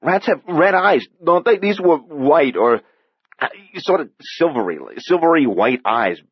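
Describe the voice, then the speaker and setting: A man's voice: fairly high pitch, animated, measured pace, breathy edge, no room sound, lo-fi sound, voice pushed up. Man playing a terrified young repairman. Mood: frightened. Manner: shaky, stammering. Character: terrified young male repairman in his twenties.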